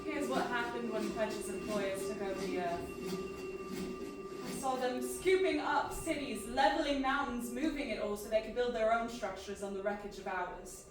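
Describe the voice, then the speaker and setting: Woman acting anguished young clone girl, high voice, audio drama, super echoey and noisy. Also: fairly even intonation, moderate pace, music in the background, close to an everyday voice. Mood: somber narration. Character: anguished young woman, a clone who believes she is human. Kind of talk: audio drama acting and first-person narration. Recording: very echoey, distant mic, noisy.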